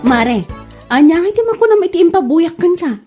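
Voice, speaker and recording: A woman's voice: very high voice, big pitch swings, a little fast, music in the background. A woman with an Ilocano accent playing a mocking village gossip in a comedy radio drama.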